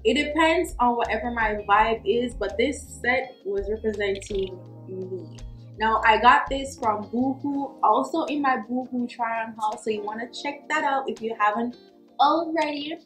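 Young woman doing a chatty fashion vlog, kinda high voice, roomy sound, slangy and emphatic. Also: a bit bright. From Jamaica. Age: young adult. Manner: emphatic, slangy, drawn-out words for effect. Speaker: fashion vlogger. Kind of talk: casual chatty lookbook commentary. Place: small furnished room at home, indoors. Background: faint music.

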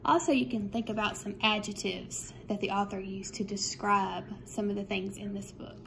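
A woman, a grade-school teacher speaking in measured, simple phrases as in a read-aloud for young children. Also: medium pitch, fairly even intonation. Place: small room at home, indoors. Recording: clear background room noise.

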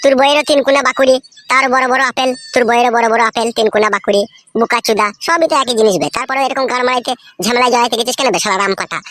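Man doing a squeaky, fast-scolding cartoon buddy. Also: bright, forceful tone, no echo. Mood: exasperated. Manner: foul-mouthed, hectoring. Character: scolding, exasperated young male friend. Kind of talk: crude comedy cartoon dialogue.

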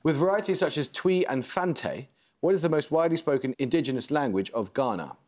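A man, hosting a quiz show in a TV studio. He introduces the teams and reads the questions in a crisp, measured, formal way, with dry asides. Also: fairly high pitch, lively intonation, darkish tone. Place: large TV studio set, seated at a desk.

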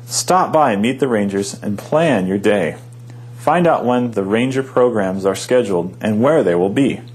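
A man's voice: on the low side, animated, moderate pace, darkish tone. A man working as a park ranger, giving visitors upbeat scripted advice in a guide's delivery. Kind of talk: scripted informational narration.

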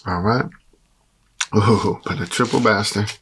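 A man in his forties with a raspy, slightly hoarse voice, chatting casually while eating a meal on camera. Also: fairly low pitch, a little slow, a bit bright, slight background noise. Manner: chatty, eats and talks with mouth full. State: hoarse from a cold. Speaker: food vlogger. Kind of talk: casual mukbang chat. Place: dark room at a table.

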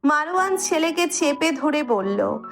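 High-pitched woman narrating a story. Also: animated, measured pace, faint music behind.

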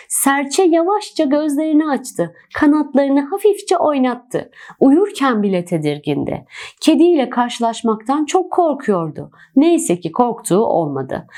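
A woman narrating a children's storybook in an expressive, dramatic storytelling manner. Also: fairly high pitch.

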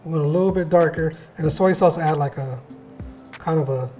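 A man's voice: fairly high pitch, brisk, warm tone. An American man in his thirties hosts a cooking show, chatting casually as he explains steps and asks questions. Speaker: cooking show host and chef. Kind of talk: casual cooking demonstration and interview chat. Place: home-style kitchen, indoors. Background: music.

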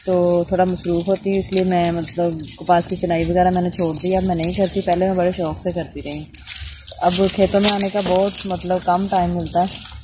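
A woman's voice: fairly low pitch, little pitch movement, moderate pace. A woman with a Punjabi accent, vlogging casually outdoors in a cotton field and explaining things in a chatty way full of filler phrases. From Punjab, Pakistan. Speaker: village vlogger. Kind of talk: casual vlog narration. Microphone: handheld phone camera. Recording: noisy outdoor phone recording.

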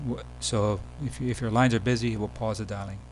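Low-key man narrating, faint hum behind. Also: steady tone, fairly quick, low-quality recording. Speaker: software demo presenter. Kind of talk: casual screencast tutorial narration. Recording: background hum and noise.

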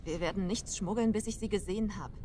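A woman's voice: medium pitch, fast talker, dark tone, a little hiss or hum, natural voice. An actress playing a hard-nosed female smuggler in a video game, curt and unyielding. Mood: businesslike, stubborn. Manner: curt, tough. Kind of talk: dramatic video game acting, German dub.

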